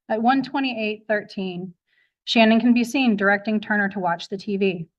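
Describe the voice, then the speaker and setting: A woman speaking as a prosecutor, methodically reading a documented log aloud in formal, factual fashion, recorded with dull courtroom sound. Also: medium pitch.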